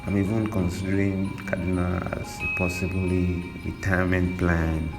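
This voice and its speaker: A man's voice: sits low, little pitch movement, normal speed, vocal fry, music in the background. A young Nigerian man hosting a podcast, telling his own life story in a loose, slangy, reflective way. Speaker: podcast host. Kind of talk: personal storytelling monologue.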